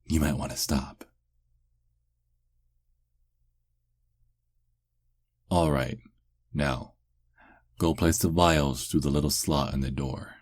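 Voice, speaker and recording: A man's voice: rumbling low, fairly even intonation, a little slow, darkish tone, full character voice. A man playing a cocky, sarcastic young adult werewolf held captive, in an ASMR roleplay with a taunting, sardonic drawl. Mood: taunting, smug.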